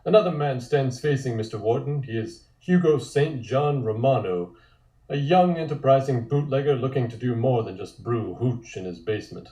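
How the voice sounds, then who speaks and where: A man's voice: medium pitch, lively intonation, moderate pace, mellow. A man narrating scripted stage directions for a table read, with dry, wry asides. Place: indoor room, multistream call. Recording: roomy, echoing home recording.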